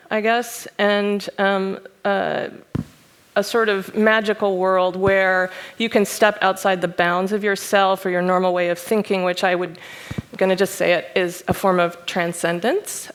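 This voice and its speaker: A woman talks through her virtual reality project at a conference panel, explaining carefully and pausing on fillers. Her voice is smooth and clear, at a middle pitch. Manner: thoughtful, many fillers, explanatory. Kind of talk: panel presentation. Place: conference stage.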